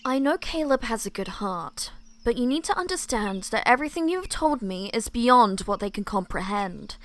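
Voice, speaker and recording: A woman's voice: on the high side, expressive, moderate pace, fairly bright tone. A woman playing a suspicious, secretive supernatural ally, serious and matter-of-fact, in amateur roleplay acting. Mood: stern.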